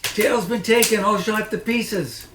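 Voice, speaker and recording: A man voicing a bossy, callous woman in a storybook, calling out loudly and impatiently. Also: sits high, measured pace, voice pushed up. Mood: brisk, callous. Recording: echoey room, noisy.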